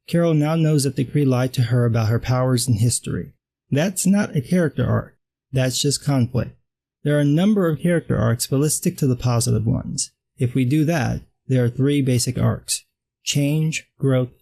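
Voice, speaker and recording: A man's voice: mid-range voice, vocal fry, warm tone, rough recording. A man with a dry voice delivering a sarcastic, blunt scripted film commentary. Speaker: film critic vlogger.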